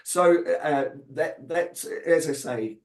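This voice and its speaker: Casting: croaky, hesitant man from New Zealand on a video call, echoey room. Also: on the high side, slow. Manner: hesitant, many fillers and restarts. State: croaky, recovering from illness. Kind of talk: webinar interview answers.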